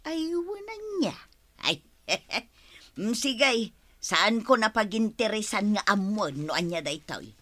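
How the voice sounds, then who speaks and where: A breezy, trusting woman with an Ilocano accent and a bright, forward voice. She is keen on earning and speaks in the style of a radio comedy-drama. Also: medium pitch, sing-song, takes it slowly, exaggerated voice. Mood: curious, agreeable. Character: breezy, eager, trusting woman taking delivery jobs.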